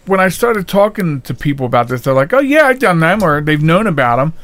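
A man's voice: a little high, very animated, fairly quick, a bit bright. An older American man hosting a casual podcast, telling things in a rambling, jokey way. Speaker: podcast host.

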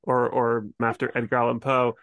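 A man's voice: mid-range voice, completely flat delivery, moderate pace, fairly bright tone, no echo. A man from New England with an American accent, hosting a casual discussion in an easygoing, reflective way. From New England, United States. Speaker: podcast host. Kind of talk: casual chat.

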